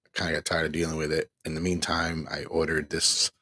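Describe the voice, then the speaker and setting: Husky-voiced man telling a rambling story. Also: rumbling low, little pitch movement, fairly quick, sharp tone, dry recording, studio quality. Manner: candid, rambling, laughs between complaints. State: tired. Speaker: online ministry host. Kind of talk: casual personal testimony. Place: home.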